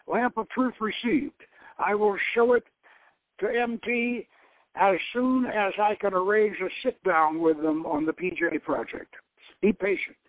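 A man reads as a businesslike adventurer hero from a storybook, sounding out terse secret messages with deliberate care. His voice sits fairly high, and the sound is dry and tinny, with little bandwidth. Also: no echo. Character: adventurer-scholar hero dictating terse, affectionate secret emails. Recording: tinny, narrow-band radio-style sound.

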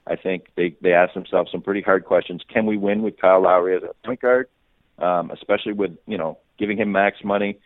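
Low male voice, muffled telephone-style sound, sports reporter, unscripted analysis, stumbling repeats and restarts. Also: fairly even intonation, no room sound. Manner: halting, repeats words and restarts often. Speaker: sports reporter, interview guest. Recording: muffled phone-like radio line.

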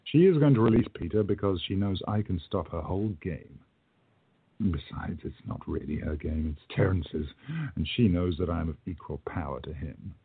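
An actor playing a commanding, wry older time traveler with an authoritative, scolding way in a radio play. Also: male voice, low-pitched, moderate pace, slightly rough, narrow, tinny audio. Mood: assured.

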